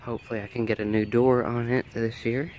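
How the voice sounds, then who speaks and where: A man's voice: medium pitch, level tone, brisk, darkish tone, a little hiss or hum. A man with a nasal, allergy-congested voice chats casually as he films a walk through his backyard garden. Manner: chatty, thinking aloud while filming. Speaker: garden vlogger.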